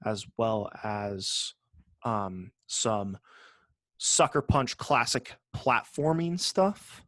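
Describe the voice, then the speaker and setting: A man co-hosting a gaming podcast in casual chat, joking and sarcastic, swearing freely and often halting to rephrase. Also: on the low side, expressive, fairly bright tone, no echo, studio quality. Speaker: podcast co-host.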